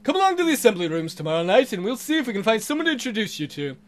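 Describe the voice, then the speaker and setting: A man's voice: sits high, big pitch swings, rapid-fire. A man voicing a jovial married naval friend in a hearty, pushy way.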